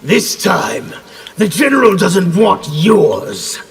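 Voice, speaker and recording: An actor playing a fierce, loyal, battle-hardened soldier with a gravelly voice in a fighting video game. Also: male voice, high-pitched, sing-song, slow, very noisy, exaggerated voice. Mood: grim menace.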